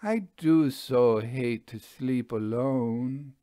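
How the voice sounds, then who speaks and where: A man voicing a vain, flirtatious male stage magician with a smooth, showy, deliberate delivery in a storybook reading. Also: medium pitch, warm tone, dry recording, studio quality. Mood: suave, flirtatious. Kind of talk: audiobook storybook dialogue.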